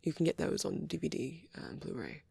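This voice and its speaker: A performer voicing a shy, bashful, nerdy young male vampire boyfriend in a soft, hesitant, rambling ASMR roleplay. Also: mid-range voice, fairly quick, gravelly, breathy edge, no echo, full character voice. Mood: shyly enthusiastic rambling. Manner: hesitant, stammering, apologetic, excitable when rambling.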